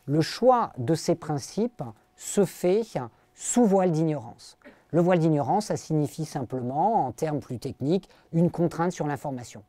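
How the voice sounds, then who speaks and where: A man's voice: fairly high pitch, animated, warm tone, dry recording. A middle-aged man giving an academic philosophy lecture, explaining carefully to his audience with frequent hesitations and fillers. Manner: hesitant, full of pauses and fillers; explanatory, addresses listeners directly.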